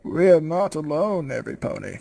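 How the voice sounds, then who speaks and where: Man voicing a high-pitched little cartoon pony filly. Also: very animated, moderate pace, vocal fry, warm tone, slight background noise, full character voice. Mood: dramatic. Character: young cartoon pony fillies chatting about their discovery.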